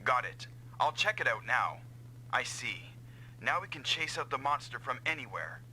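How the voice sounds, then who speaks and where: Earnest comrade pilot, very sharp, forceful. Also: male voice, medium pitch, very animated, normal speed, slight background noise, low-quality recording. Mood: purposeful. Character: earnest, self-doubting male comrade pilot, eager to help. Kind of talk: dubbed anime-style video game acting.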